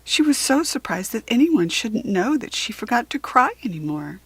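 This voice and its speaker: Animated, husky woman reading a storybook. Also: a little high, moderate pace, no echo. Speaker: children's storybook reader. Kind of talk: expressive read-aloud narration for children.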